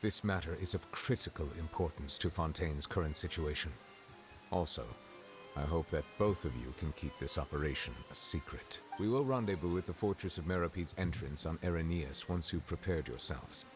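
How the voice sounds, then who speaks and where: An actor playing a solemn, dignified male judge in a video game, speaking in a formal, measured, courteous way. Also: a bass voice. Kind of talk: scripted video game dialogue. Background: game music.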